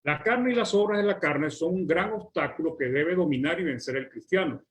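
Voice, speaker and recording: A man with a Venezuelan accent preaching a sermon, working through lists slowly and stretching key words for weight. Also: a little high. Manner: measured, list-like preaching with drawn-out words.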